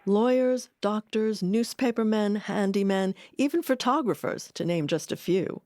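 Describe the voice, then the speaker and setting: A woman's voice: medium pitch, unhurried, darkish tone, no echo, clean studio sound. A woman delivering scripted documentary narration.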